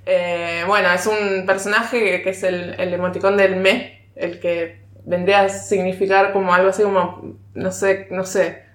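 A woman's voice: on the low side, little pitch movement, a little slow. A woman with an Argentine accent chatting casually about films, rambling and a bit hesitant. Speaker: film commentator. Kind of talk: casual chat. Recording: roomy, echoing sound.

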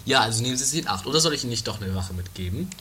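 A woman's voice: rumbling low, animated, very fast, a bit bright. A woman playing an officious, gullible prison guard who stumbles over words in an amateur comedy radio play. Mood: gullible, cautioning. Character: suspicious yet gullible, muddled prison guard. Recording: noisy amateur 1980s tape.

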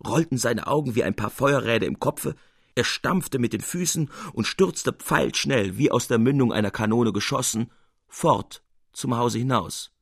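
Gravelly male storybook narrator, close and dry. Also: mid-range voice, moderate pace, dry recording. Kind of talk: literary storybook narration, expressive.

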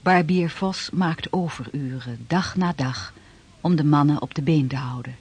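Husky, higher-pitched male narrator. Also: measured pace, darkish tone, faint music behind. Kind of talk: scripted documentary-like radio drama narration.

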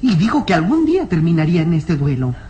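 A performer playing an eager, loyal young boy companion in an old radio serial, earnest and excitable, with a husky voice. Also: medium pitch, quick pace, a little hiss or hum, lightly acted. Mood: excited, concerned.

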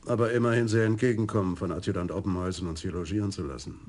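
An actor playing a stout, easygoing middle-aged police adjutant in a German radio crime drama, speaking in a dry, matter-of-fact way. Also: male voice, deep voice, steady tone, racing, darkish tone, a little hiss or hum, lightly acted.

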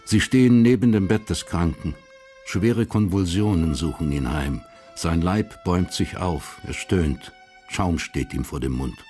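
Deep-voiced, measured male narrator. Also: darkish tone, soft background music. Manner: measured, literary, sober. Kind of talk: scripted narration of a radio crime drama.

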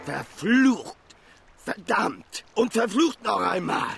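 An actor playing a gruff ship pilot who barks orders in a radio drama. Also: male voice, high voice, sing-song, takes it slowly, heavily acted. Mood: cursing. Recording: loud storm wind noise. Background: storm, wind and waves.

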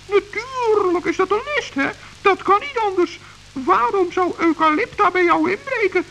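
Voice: very high-pitched, lively intonation, gravelly, bright, forceful tone, slight background noise, heavily acted, low-quality recording. A performer voicing a small, kind, earnest forest gnome in an old children's radio play. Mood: hurried. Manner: earnest, hurried, stammering when flustered.

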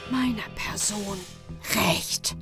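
A proud, vengeful queen in a radio drama. She speaks very slowly and intensely at a medium pitch, with clear music around her. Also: fairly even intonation. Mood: intense, demanding. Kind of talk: German radio play acting of a medieval epic.

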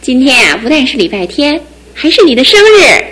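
A soft-spoken young wife. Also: female voice, high-pitched, big pitch swings, fairly quick, full character voice. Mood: cheerful. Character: young wife, cheerful and resilient. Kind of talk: radio drama acting.

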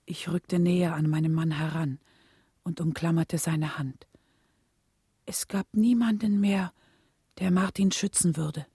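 A solemn leader's wife telling her story, radio play, soft dark husky voice, dry sound. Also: lowish voice, fairly even intonation, unhurried, pauses often, no room sound, full character voice. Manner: solemn, restrained, clearly phrased storytelling. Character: composed, grieving wife of a civil rights leader. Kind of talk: scripted radio drama narration and dialogue.

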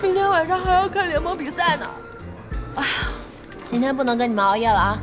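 Lively, fiery woman boss, high-pitched. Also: quick pace, crowd noise. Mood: huffy. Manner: exclamatory, expressive. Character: lively, fiery female internet cafe boss, a doting fan. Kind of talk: Chinese audio drama acting. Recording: music behind the voice.

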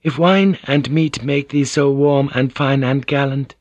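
A man voicing a gentle, composed Japanese noblewoman in an audiobook, speaking in courteous, archaic phrasing. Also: mid-range voice, fairly even intonation, a little slow, no echo, highs cut off, voice pushed up, natural voice. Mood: coy, courteous.

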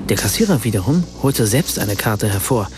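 A wry German writer. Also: male voice, fairly low pitch, very animated, normal speed, over music. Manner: ironic, reflective. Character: German writer from Berlin, wry, self-conscious narrator. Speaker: narrator. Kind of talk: scripted radio drama narration.

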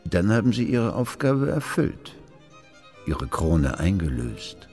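A man's voice: deep voice, big pitch swings, slow pace, darkish tone, heavily acted. An actor playing a patronizing, insistent royal statesman in a radio drama, speaking in a pompous, declamatory manner. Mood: insistent, lecturing. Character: patronizing male royal statesman pressing a queen to marry. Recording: long hall reverb, music behind.

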